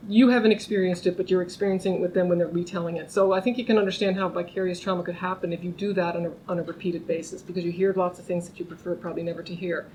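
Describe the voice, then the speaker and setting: A woman's voice: on the low side, steady tone, rapid-fire, hardly any pauses, a little mellow, slight background noise, rough recording. A middle-aged woman professor giving an informal academic talk to a small group, speaking conversationally with restarts and raising her voice to be heard. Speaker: criminology professor. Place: lunch seminar room.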